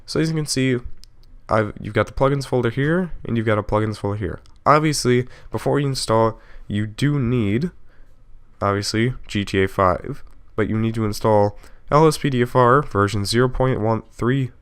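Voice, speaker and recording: Casual, clear-voiced man giving a tutorial. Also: mid-range voice, moderate pace. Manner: informal, self-correcting, explains step by step. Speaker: video tutorial host. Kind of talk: casual software tutorial narration.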